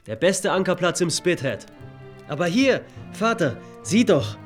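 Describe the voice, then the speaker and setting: A young sailor in a radio play, eager and fond of his family. His voice sits very high for a man, with faint music behind it. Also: sing-song, unhurried. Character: eager young sailor son, affectionate brother. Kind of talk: literary radio drama acting.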